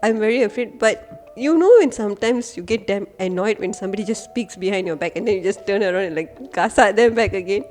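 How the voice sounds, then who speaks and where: A woman's voice: mid-range voice, fast, darkish tone, soft background music. A woman with a Malaysian English accent, chatting candidly on a podcast and telling stories from her own life. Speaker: podcast co-host. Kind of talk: casual chat.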